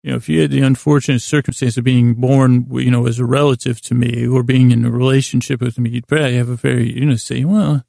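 A man hosting a podcast in a gravelly, creaky voice, rambling through a halting, self-deprecating bedtime monologue that trails off and repeats words. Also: medium pitch, level tone, mellow, no echo, studio quality. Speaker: podcast host.

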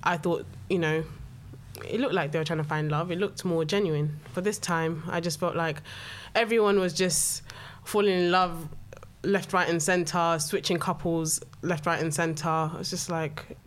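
A young woman with a British accent talks casually as a guest, her voice a little lower than most. She laughs easily and stumbles now and then as she speaks. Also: steady tone, unhurried. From Nigeria, raised in Swaziland and the UK. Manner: laughs often, stumbles over words. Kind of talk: casual chat.

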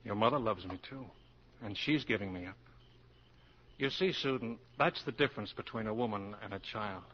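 An actor playing an ardent middle-aged college professor with earnest, emphatic delivery, in 1940s radio drama style. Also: male voice, fairly low pitch, moderate pace, close to an everyday voice. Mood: firm, tender. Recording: muffled, noisy 1940s radio recording.

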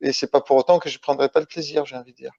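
A man from Italy speaking as a guest in a casual interview, halting and restarting his phrases as he explains. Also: medium pitch, fairly even intonation, gravelly, warm tone. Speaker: interview guest, ultra-trail runner. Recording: dull lo-fi, roomy echo.

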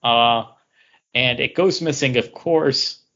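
A man talking casually as a podcast co-host, rephrasing haltingly as he goes. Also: medium pitch, sharp tone. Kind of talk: casual chat about comic books. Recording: dull, lo-fi podcast audio.

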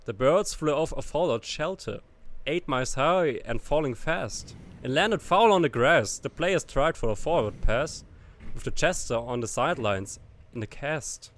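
A man's voice: mid-range voice, expressive, unhurried, hardly any pauses. A young man co-hosting a casual comedy podcast, reading lyrics aloud in a loose, rhythmic way between chatty asides. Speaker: podcast co-host.

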